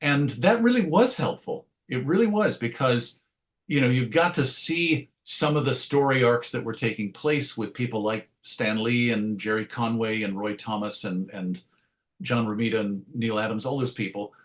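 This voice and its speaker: A man's voice: mid-range voice, normal speed. Man giving thoughtful interview answers. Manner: thoughtful, explains through analogies. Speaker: interview guest, writer and radio host.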